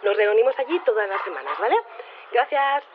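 A woman's voice: medium pitch, big pitch swings, a little fast, close to an everyday voice. A woman playing a polite, matter-of-fact geneticist leaving a phone announcement in a fiction podcast. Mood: polite, businesslike. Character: matter-of-fact female geneticist, casually recruiting for sacrifice. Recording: tinny, noisy phone message.

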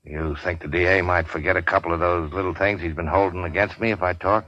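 An actor playing a weary, sardonic gangster in a 1940s radio drama, terse and slangy. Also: male voice, sits low, steady tone, fast talker, dry recording, natural voice. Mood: scheming, terse. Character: weary hard-boiled gangster, wounded, sardonic and unafraid. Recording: dull 1940s radio recording.

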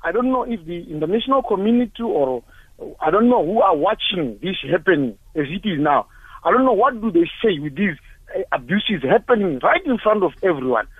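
A man's voice: high-pitched, very animated, a little fast, husky, dry recording. A man in his forties from Zimbabwe, calling in to a talk show and speaking with anger through repeated rhetorical questions. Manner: impassioned, angry, repetitive rhetorical questions. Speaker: caller. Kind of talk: emotional call-in comments. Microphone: phone. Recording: tinny phone line.

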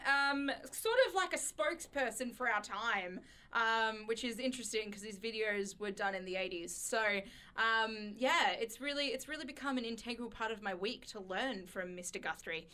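A woman's voice: fairly high pitch, moderate pace, few pauses, bright, forceful tone, clear, resonant tone. A young woman co-hosting a radio show in casual chat, joking with mock-boastful flair.

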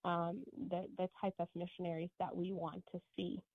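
A woman's voice: on the low side, level tone, no echo. Ethiopian-born woman on a tinny line. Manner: earnest, measured, with many restarts. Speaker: guest author. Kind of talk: radio interview answers. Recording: tinny phone-line sound, dry.

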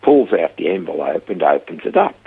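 A man's voice: sits low, a little fast, slightly rough. An older man from Switzerland with a Swiss accent, telling a story as a radio interview guest and acting out the quoted voices. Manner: lively storyteller who acts out the quoted voices. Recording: narrow phone-like radio sound.

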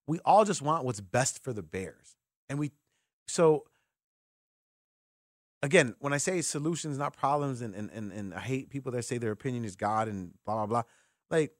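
Guy hosting sports talk radio, casual and opinionated, close dry studio mic, mid pitch. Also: no echo. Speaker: sports radio call-in host.

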